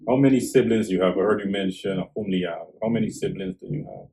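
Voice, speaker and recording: A man interviewing a guest, asking calm questions and murmuring soft agreement while she talks. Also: lowish voice, fast, noisy background.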